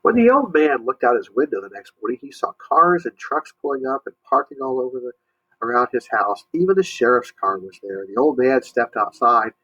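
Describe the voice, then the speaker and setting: A man reading a story aloud to young listeners, pausing to explain and ask questions. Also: mid-range voice, sing-song, bright, forceful tone, husky, dull audio. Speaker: storybook reader, teacher.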